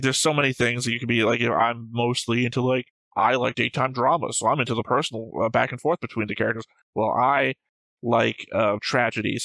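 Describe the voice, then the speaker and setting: A man's voice: medium pitch, vocal fry, fairly bright tone, dry recording, rough recording. A man who hosts a reaction show, talking casually and discursively about a series, rambling and swearing freely.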